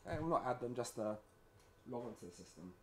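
A man presenting a software tutorial in a casual, halting, step-by-step way, often checking in with a short "okay". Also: mid-range voice, animated, heavy background noise. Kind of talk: casual screen-recorded software walkthrough.

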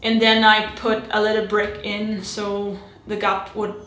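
A woman in her thirties casually narrating her hobby build, chatty and halting, with many restarts and fillers, recorded at home on a desk camera mic. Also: medium pitch, level tone, roomy sound, background noise. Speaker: miniature terrain hobbyist.

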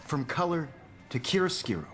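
Confident, wry teacher, animated intonation, theatrical game lecture, faint noise behind. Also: male voice, a little high, normal speed. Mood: wry, lecturing. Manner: theatrical, rhetorical, sardonic. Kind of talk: video game classroom lecture.

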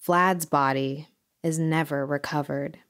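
Woman acting slow, solemn documentary narrator. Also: low-pitched, fairly even intonation, fairly bright tone. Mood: grave. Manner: measured, solemn. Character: solemn female documentary podcast host. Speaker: host and narrator. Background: music.

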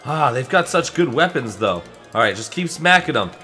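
A man's voice: medium pitch, moderate pace, soft background music. A young man playing a friendly, eager superhero in a casual, chatty, improvised video game roleplay. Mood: excited. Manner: chatty, excitable, buddy-like.

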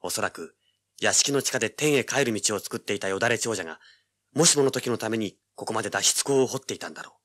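An actor playing a cocky, tough young treasure hunter, rough-spoken and sardonic, narrating and speaking in a hard-boiled audio drama. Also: male voice, fairly low pitch, quick pace, a bit bright. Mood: tense, cool. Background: dramatic music.

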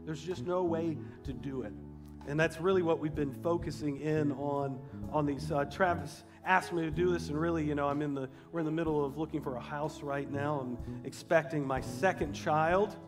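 Higher-voiced male preacher, informal and wry. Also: fairly even intonation, moderate pace, over music. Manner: informal, wry joking asides. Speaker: pastor, guest preacher. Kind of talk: sermon to a live congregation. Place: church auditorium stage, indoors.

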